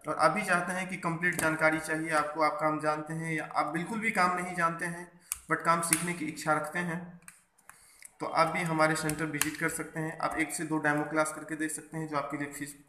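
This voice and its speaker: Male repair instructor, bright forceful tone, explanatory tutorial delivery, echoey workbench recording. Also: on the high side, flat delivery, fairly quick. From India. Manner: explanatory, mixes in English technical terms. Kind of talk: hands-on tutorial narration. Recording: echoey room, faint background noise.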